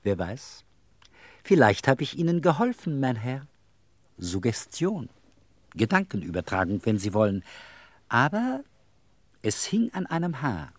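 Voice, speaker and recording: A man's voice: mid-range voice, big pitch swings, measured pace, long pauses, no room sound. An actor in a radio play voicing an eccentric middle-aged beggar with bourgeois airs, telling his story in a wry, theatrical, rambling way. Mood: wry, self-satisfied.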